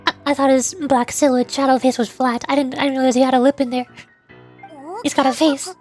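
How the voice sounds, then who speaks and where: A woman's voice: high-pitched, level tone, brisk, darkish tone, soft background music. A woman streamer giving chatty, rambling, casual commentary on a game. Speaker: streamer, VTuber.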